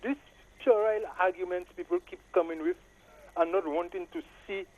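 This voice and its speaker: A man's voice: high voice, steady tone, fairly quick, darkish tone, muffled recording. A man with a Saint Lucian Caribbean accent giving emphatic opinions in casual patois-flavoured talk, often appealing to the listener. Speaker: caller to a live talk show. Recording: noisy call-in line.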